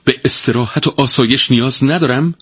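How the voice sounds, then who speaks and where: A man's voice: medium pitch, breathy edge, no echo, pitched above the performer's own voice. A man voicing an overworked, exasperated wife in a storybook folk tale, in a raised, scolding voice. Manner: pleading then shouting, scolding. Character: weary, exasperated, nagging wife. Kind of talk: storybook reading.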